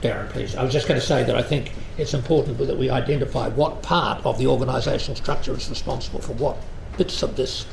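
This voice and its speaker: A man chairing a committee meeting, brisk and calling on speakers. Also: medium pitch, vocal fry. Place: council chamber. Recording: echoey noisy chamber.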